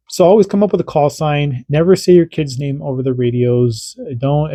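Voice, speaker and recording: Casual male tutorial host, warm low-key tone, dry close sound, rambling explainer. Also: dry recording. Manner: chatty, repeats himself, radio checks. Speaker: radio hobby channel host.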